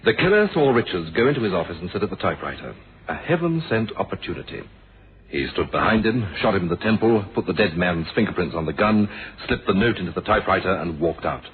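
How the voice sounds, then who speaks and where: An actor playing a calm, shrewd male police inspector in a radio mystery, explaining in a deliberate, measured way and pressing his point. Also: sits low. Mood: grave, assured. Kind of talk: 1970s radio mystery drama acting. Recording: muffled, noisy old radio drama recording.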